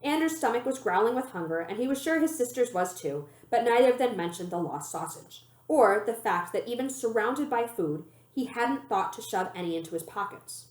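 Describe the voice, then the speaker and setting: A woman reading a children's novel aloud as an expressive, animated storyteller. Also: a little high, normal speed, hardly any pauses. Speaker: librarian reading a book aloud. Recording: roomy, echoey room sound.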